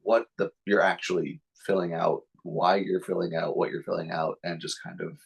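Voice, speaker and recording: A man's voice: low-pitched, level tone, moderate pace, creaky, husky. A man from Vermont talking casually as an interview guest over a video call, often searching for his words.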